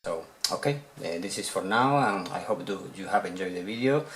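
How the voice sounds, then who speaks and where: Deep-voiced Spanish man, halting English. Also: animated, a bit bright, a little hiss or hum. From Spain. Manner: hesitant, repeats words while searching for English. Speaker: video game collector showing purchases. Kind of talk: casual unboxing vlog.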